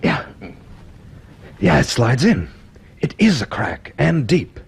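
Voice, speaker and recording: A man playing a keen, restless young student in a radio drama, talking informally in excitable, slightly hesitant bursts. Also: medium pitch, takes it slowly, creaky, slightly rough, exaggerated voice. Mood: eager, excited. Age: young adult. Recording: faintly noisy radio drama recording.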